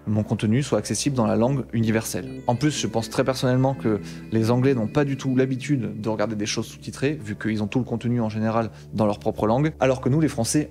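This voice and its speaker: A man with a Bordeaux French accent talks casually to camera as a tech YouTuber. He is animated and slangy, with a mildly exasperated tone. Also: mid-range voice.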